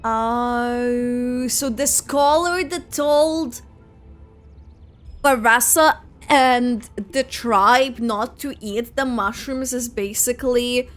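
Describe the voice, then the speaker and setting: A woman giving casual reaction commentary as she plays a game, musing haltingly. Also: a little high. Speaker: gaming streamer reacting to a game. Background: game music.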